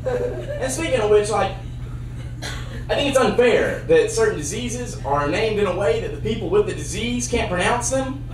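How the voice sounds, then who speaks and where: Young man doing stand-up, very lively pitch. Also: normal speed, slight background noise. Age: young adult. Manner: deadpan one-liners with sudden loud bursts. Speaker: stand-up comedian. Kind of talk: stand-up comedy set. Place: dark theater stage. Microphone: handheld mic on a cord. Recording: roomy sound from a far camera.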